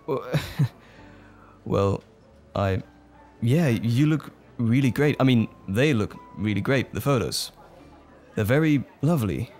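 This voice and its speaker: A man playing a gentle, bashful romantic date in an ASMR roleplay, speaking tenderly and a little hesitantly. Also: mid-range voice, lively intonation, slow pace. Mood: cheerful, flustered. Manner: tender, hesitant, lightly chuckling flirtation. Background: music.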